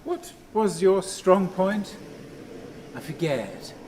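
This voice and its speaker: A man playing a restless, tormented ageing man in a radio play, halting, brooding and bitterly self-mocking. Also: high-pitched, big pitch swings, a little mellow, exaggerated voice. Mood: weary, subdued. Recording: echoey hall, noisy ambience.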